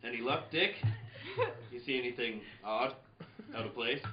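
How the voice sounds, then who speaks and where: A man's voice: mid-range voice, moderate pace, over music, natural voice. Guy doing earnest old radio detective type, kinda puzzled and hammy, roomy muffled noisy recording. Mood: inquisitive. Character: earnest, puzzled investigating man, superhero's alter ego. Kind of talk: hammy old-time radio play acting.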